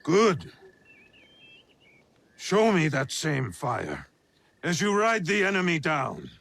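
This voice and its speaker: Slow, gravelly, imperious warlord. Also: male voice, on the high side, sing-song, a bit bright, dry recording, heavily acted. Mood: fierce approval. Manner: imperious, commanding. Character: commanding feudal Japanese warlord, fierce and imperious. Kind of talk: dramatic video game acting.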